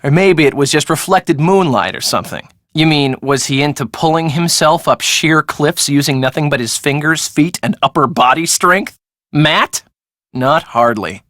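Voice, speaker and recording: Young game character gal, breezy n sarcastic, super lively pitch, dry sound. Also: female voice, moderate pace, no echo. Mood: wry, skeptical. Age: young adult. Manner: casual, slangy, wry. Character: breezy, sarcastic young woman, evasive friend of the groom. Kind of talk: video game dialogue acting.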